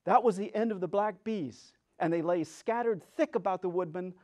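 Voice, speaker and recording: A man narrating a children's story as a dramatic reading, theatrical and expressive. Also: high voice, moderate pace. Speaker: storyteller, reader.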